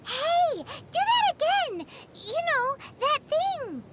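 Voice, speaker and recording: A woman voicing a tiny, childish, cheeky fairy companion in a video game, with a squeaky voice. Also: sing-song, slow, very noisy, exaggerated voice. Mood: excited, curious. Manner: refers to self in third person, whiny, exclaiming. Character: tiny floating fairy girl companion, childish, cheeky, greedy, food-loving. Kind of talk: video game character acting.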